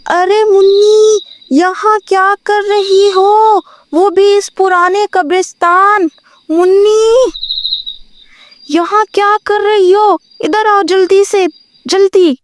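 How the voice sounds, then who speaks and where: A woman's voice: extremely high pitch, steady tone, slow pace, a little hiss or hum, voice pushed up. A woman voicing an anxious, scolding young mother in a cartoon horror story. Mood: alarmed.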